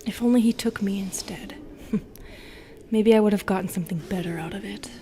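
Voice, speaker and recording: A woman playing a bitter, lonely, seductive Medusa in an intimate audio roleplay, half-whispering in a breathy, brooding way. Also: medium pitch, a little fast, dark tone, slight background noise, lightly acted. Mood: cold, bitter. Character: bitter, seductive, lonely female Medusa who turns people to stone.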